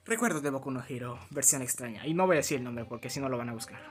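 A man's voice: mid-range voice, very fast, slightly rough. A young man with a Latin American accent giving casual, slangy, playfully dramatic reaction commentary. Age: young adult. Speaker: gaming channel host reacting to a video. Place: small room at home. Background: music.

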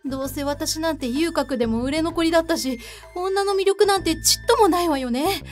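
A woman playing a soft-spoken, brooding courtesan yokai with feminine speech, in a Japanese fantasy comedy voice drama. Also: sits high, fairly quick, faint music behind, natural voice. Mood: bitter, brooding. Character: soft-spoken, gloomy, grudge-prone female long-haired courtesan yokai.

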